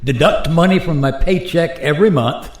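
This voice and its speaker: A man's voice: a little high, normal speed, close to an everyday voice. A man playing an easygoing modern airline pilot in a radio play, talking in a casual, explanatory way. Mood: resigned, explaining. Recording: roomy, echoing sound.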